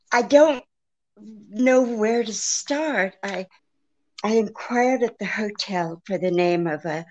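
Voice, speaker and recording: Slow, creaky, anxious woman client. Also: medium pitch, darkish tone, muffled recording. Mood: nervous, hesitant. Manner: hesitant, halting, faltering. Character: anxious, genteel female client pleading for help. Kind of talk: 1940s radio drama acting.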